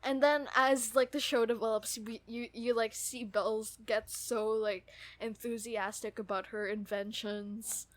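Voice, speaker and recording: A woman with a fairly high voice. She talks as a podcast co-host in a casual, enthusiastic way, like someone chiming in with a friend. The recording is close and dry. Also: fairly even intonation, measured pace, few pauses, dry recording. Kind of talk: casual chat about an audio drama.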